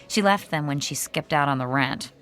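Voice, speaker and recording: A woman's voice: low voice, moderate pace, a bit bright, soft background music. An actress playing an earnest, emphatic theatre woman in a modern radio drama. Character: Diane, earnest theatre woman who believes in magic.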